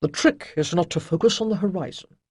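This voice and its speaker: A narrator voicing an elderly wise male master, speaking in a patient, gently lecturing way. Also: mid-range voice, a little fast, husky, no echo, clean studio sound, natural voice. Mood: kindly.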